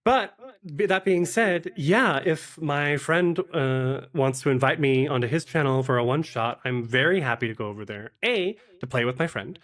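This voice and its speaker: A man with a medium-pitched voice speaks as an interview guest. He explains his views conversationally, in a thoughtful, slightly halting way, like a game master talking shop. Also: a bit bright.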